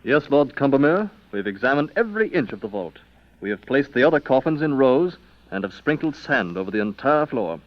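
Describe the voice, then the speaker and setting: A man's voice: mid-range voice, very animated, fairly quick, natural voice. A dutiful military aide played by a man speaks with respectful, clipped formality. The style is old-time radio drama, on a dull vintage recording. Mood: respectful, earnest. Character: dutiful, deferential army captain, aide to a colonial governor.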